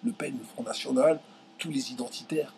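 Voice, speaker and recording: A young man from France ranting straight to his viewers, indignant and heated, stumbling over his words and pushing his voice up high when he gets angry. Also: moderate pace, vocal fry, a little mellow, slight background noise. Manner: indignant, voice jumps high when outraged, many restarts. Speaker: video commentator. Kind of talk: heated direct-to-camera rant.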